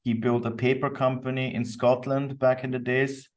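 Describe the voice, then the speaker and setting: A man's voice: medium pitch, little pitch movement, normal speed. Austrian man, easygoing, off the mic. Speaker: podcast guest, company co-founder. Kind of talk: reflective interview talk. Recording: distant microphone.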